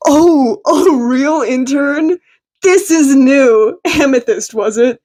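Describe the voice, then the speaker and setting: A woman's voice: sits high, takes it slowly, a little mellow, voice pushed up. A woman voicing a friendly, casual, teasing male masked vigilante in a story reading.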